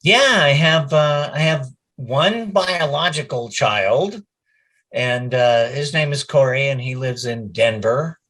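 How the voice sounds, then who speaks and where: A man's voice: mid-range voice, lively intonation, takes it slowly, a bit bright. A middle-aged man, a voice actor, chatting casually as an interview guest.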